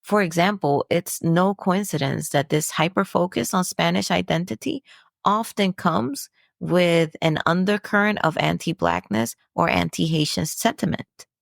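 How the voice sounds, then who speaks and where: A woman from the Dominican Republic hosts her own podcast, sharing a personal reflection with earnest conviction. Her voice is low and gravelly, and she takes her time. The sound is close and dry, with no room around it. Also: expressive, measured pace, no room sound. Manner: earnest, preachy, asks rhetorical questions. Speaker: podcast host.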